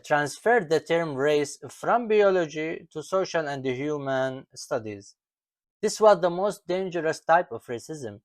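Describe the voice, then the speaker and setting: Author, native Arabic speaker, male, slightly high voice, deliberate lecture-like delivery, dry close sound. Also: dry recording. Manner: deliberate, lists items slowly with falling ends. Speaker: author presenting his book. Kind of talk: measured explanatory talk.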